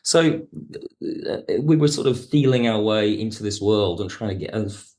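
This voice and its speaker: A man speaking as a producer and guest in an interview, reflective, halting and rephrasing as he explains. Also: lowish voice, darkish tone. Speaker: audio drama producer and writer, podcast guest. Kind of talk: reflective interview talk about production work.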